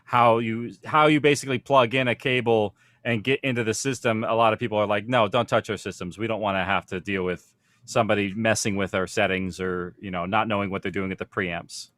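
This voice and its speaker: A man's voice: fairly low pitch, fairly even intonation, fairly quick, a bit bright, dry recording. Casual man explaining, close dry sound. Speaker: audio production professional on a panel. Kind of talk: informal panel discussion.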